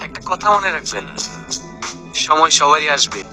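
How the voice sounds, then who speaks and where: A man giving a slow motivational monologue, in a clip played back from a video. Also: on the high side, animated, sharp tone, faint music behind.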